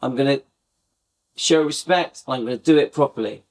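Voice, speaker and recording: A man's voice: medium pitch, moderate pace, slight background noise. A middle-aged man hosting a casual live fan chat, talking to viewers in a rambling, reminiscing way with many restarts. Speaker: fan channel host.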